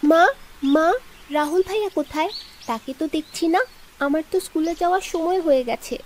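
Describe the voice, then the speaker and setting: A woman's voice: very high voice, normal speed, a little mellow, slight background noise. A woman voicing a caring, earnest little schoolgirl in a children's cartoon, sweet and questioning. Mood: worried, questioning.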